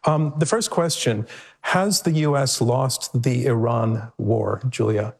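A man's voice: mid-range voice, takes it slowly, vocal fry, husky. Genial male debate moderator. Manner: genial, with small restarts and stumbles. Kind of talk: hosting a panel discussion.

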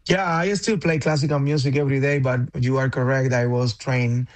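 A man from Cuba talks on a radio show as a guest musician. He speaks haltingly, with lots of fillers and restarts, in an ordinary middle pitch. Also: steady tone. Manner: hesitant, many fillers and restarts. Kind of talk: radio interview.

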